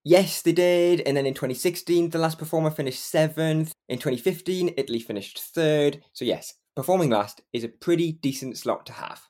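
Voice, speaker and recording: A man's voice: on the high side, brisk, hardly any pauses, a little mellow. A man with a Geordie accent from Northeast England, hosting a podcast in casual, chatty conversation with frequent fillers and laughs. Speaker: podcast host. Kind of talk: casual chat and interviewing.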